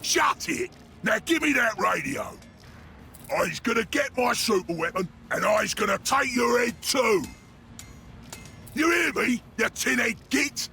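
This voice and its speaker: An actor playing a brash, bloodthirsty ork warboss in a video game, shouting crude broken grammar. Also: male voice, extremely high pitch, expressive, unhurried, vocal fry, faint music behind, exaggerated voice. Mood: angry, threatening. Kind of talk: video game character acting.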